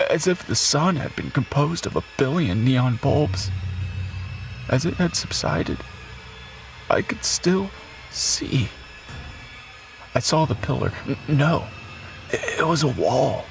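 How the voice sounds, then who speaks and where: A man voicing a shaken, numb student survivor who gives a halting testimony in a raspy voice, like an audio drama monologue. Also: mid-range voice, poor audio. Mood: awed, shaken. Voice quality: raspy, breathy. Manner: halting, numb, throat-clearing.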